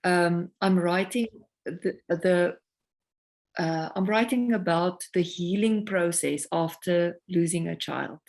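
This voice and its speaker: A South African woman with Afrikaans as her first language talks through her music as an interview guest. Her voice sits low and carries a gravelly texture. She speaks reflectively and takes her time finding the right words. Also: takes it slowly, darkish tone. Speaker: singer-songwriter guest. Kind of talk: casual interview conversation.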